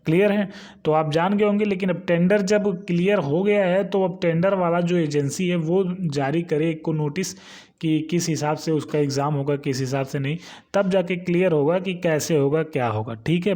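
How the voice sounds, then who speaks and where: A man's voice: on the high side, moderate pace, dark tone. A man giving an informal, instructional explainer, repeating points and often checking that listeners follow. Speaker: exam-news channel host. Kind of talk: informal explainer of recruitment notices.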